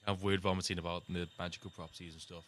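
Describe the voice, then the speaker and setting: A man's voice: low voice, little pitch movement, very fast, fairly bright tone. A man speaking casually as a player in a tabletop role-playing game.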